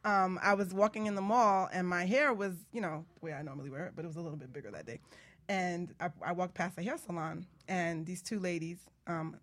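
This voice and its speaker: A woman's voice: on the low side, a little fast. A woman hosting a talk show, chatting casually and telling stories in a conversational way. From Bronx, New York. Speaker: radio show host. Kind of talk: casual chat and storytelling.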